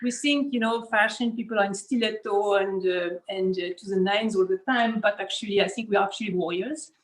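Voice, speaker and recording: A woman's voice: medium pitch, steady tone, fairly quick, low-quality recording. A woman from Switzerland gives an informal explanatory studio tour as an artist and costume designer, often searching for words and adding "you know".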